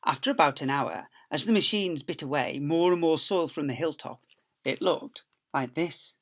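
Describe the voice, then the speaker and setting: A man's voice: fairly high pitch, moderate pace, a bit bright. A man, an infant school teacher, reading a story expressively to young children.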